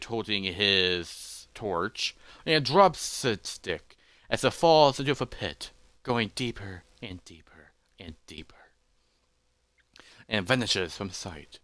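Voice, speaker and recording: A man telling a fantasy story as its narrator, in halting, deliberate phrases with dramatic pauses. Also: on the low side, bright, forceful tone, clear, resonant tone, no room sound.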